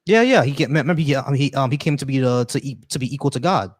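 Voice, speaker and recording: Fast-talking argumentative man, dry sound. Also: mid-range voice, no echo. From United States. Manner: rapid, argumentative, repeats phrases. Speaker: host. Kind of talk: casual debate on a call-in stream.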